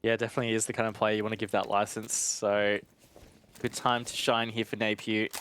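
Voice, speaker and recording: A man giving analytical esports commentary in a conversational, measured way. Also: lowish voice, flat delivery, a bit bright, a little hiss or hum.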